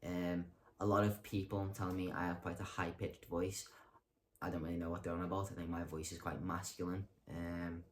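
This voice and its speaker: A young man with a low voice talks straight to the camera in a loose, rambling monologue. He stumbles through ums and restarts, keeps correcting himself, and delivers his jokes deadpan. Faint noise sits behind him. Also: moderate pace. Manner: rambling, hesitant, full of ums and self-corrections; deadpan self-mocking humor. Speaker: vlogger. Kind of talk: casual talking-to-camera monologue.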